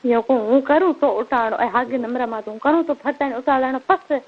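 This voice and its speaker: A woman's voice: high-pitched, a little fast. A woman with a Marwari accent chatting casually and intimately as a caller on a personal phone call. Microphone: telephone line. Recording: thin, band-limited phone call recording.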